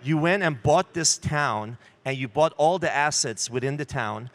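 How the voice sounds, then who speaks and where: A man's voice: a little high, moderate pace, fairly bright tone. A middle-aged man interviewing a guest onstage, asking leading, conversational questions into a handheld microphone. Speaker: interviewer, publisher. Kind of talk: onstage fireside chat.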